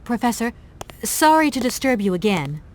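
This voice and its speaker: An actress playing a polite, persistent young traveller in a video game, courteous and well-spoken. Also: female voice, fairly high pitch, sing-song, normal speed, slight background noise. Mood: courteous, inquisitive.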